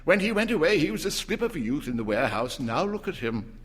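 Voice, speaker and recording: A man voicing an excitable, querulous elderly father in a story reading, with blustering, animated exclamations. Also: fairly high pitch, fast talker, slight background noise, pitched above the performer's own voice. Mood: chatty. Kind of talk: dramatized short-story reading. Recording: reverberant hall.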